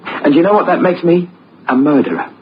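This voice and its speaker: A man's voice: on the high side, very animated, brisk, a little hiss or hum. An actor playing an anguished, guilt-ridden British husband in an old radio play, agitated and hesitant in his delivery. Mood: anguished confession. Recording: muffled old radio recording with music.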